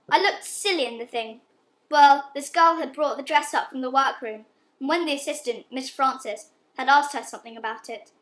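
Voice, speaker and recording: A woman playing an earnest, guilt-stricken young well-off daughter in an amateur radio play, speaking a little haltingly. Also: sits high, fairly bright tone. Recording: echoey room, amateur recording.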